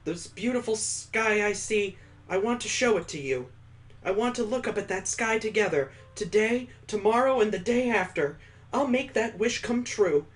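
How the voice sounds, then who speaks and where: A gentle, sentimental young heroine acted out by a man, speaking in a raised pitch. The delivery is soft, earnest and dramatic, suited to a visual novel. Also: lively intonation, moderate pace. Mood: tender, hopeful.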